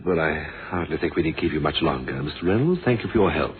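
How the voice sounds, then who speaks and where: An actor playing a measured, dryly thoughtful police inspector in a radio drama, polite and understated in manner. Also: male voice, rumbling low, lively intonation. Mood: polite, dismissive. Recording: dull, hissy old radio recording.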